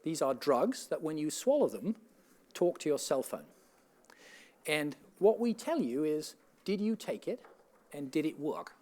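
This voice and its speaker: Brief: Texan man, slightly high voice, measured conference pitch. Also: darkish tone. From Texas. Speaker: panelist, health tech CEO. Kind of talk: conference panel talk. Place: conference stage.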